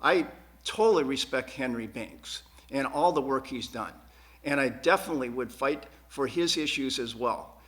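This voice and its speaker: A man answers questions as a political candidate in a studio forum. His delivery is earnest and emphatic, with words repeated for stress. His pitch sits in an ordinary male range. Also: normal speed, fairly bright tone. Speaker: school board candidate. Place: public access TV studio.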